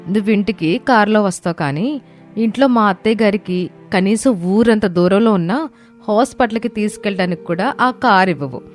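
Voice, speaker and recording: A woman's voice: mid-range voice, lightly acted. Woman playing a spiteful, taunting younger sister-in-law. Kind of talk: animated moral story dialogue.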